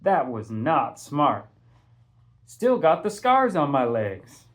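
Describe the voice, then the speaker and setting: Man voicing a chatty, excitable schoolgirl. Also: on the high side, takes it slowly, exaggerated voice. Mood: amused. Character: chatty, self-mocking schoolgirl telling reckless stories.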